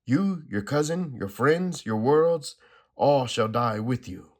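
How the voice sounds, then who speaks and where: A man's voice: mid-range voice, lively intonation, takes it slowly, a little mellow, pitched above the performer's own voice. A man reading a comic aloud, voicing a cold, menacing cosmic villain with pompous, archaic threats.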